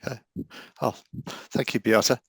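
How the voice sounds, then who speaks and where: A man's voice: unhurried, a bit bright, no room sound. Casual man hosting a video call. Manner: casual, chuckling, with some stumbles. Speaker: talk host and moderator.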